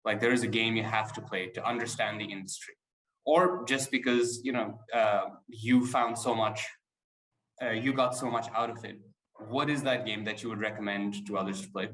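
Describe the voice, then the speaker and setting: A man's voice: fairly low pitch, steady tone, moderate pace, fairly bright tone. Joking moderator man in an echoey room. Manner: friendly, joking prompts. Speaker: panel moderator. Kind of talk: moderating a panel.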